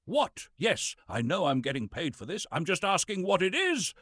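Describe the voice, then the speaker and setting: A man's voice: high voice, huge pitch swings, moderate pace, fairly bright tone, no echo, clean studio sound, exaggerated voice. Man playing a pompous British thespian, wildly swooping delivery. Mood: indignant. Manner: pompous, irascible, declamatory. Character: pompous, grumpy male Shakespearean thespian posing as physics lecturer. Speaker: lecturer. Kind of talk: theatrical comic lecture monologue.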